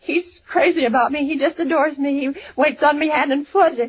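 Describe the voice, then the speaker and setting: Actress doing a high-pitched frantic bedridden woman, stammering and pleading, dull old radio recording. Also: steady tone, brisk, slight background noise, natural voice. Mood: flustered. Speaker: bedridden wife on the phone. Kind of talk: 1940s radio acting.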